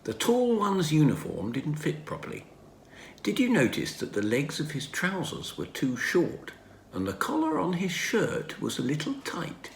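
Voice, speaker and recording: A man's voice: a little high, wildly swooping pitch, a little slow, creaky, pitched above the performer's own voice, heavily acted. A man voicing a thoughtful, observant boy in a children's storybook, earnest and emphatic. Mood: puzzled. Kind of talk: children's storybook character reading. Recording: roomy home recording, faint noise.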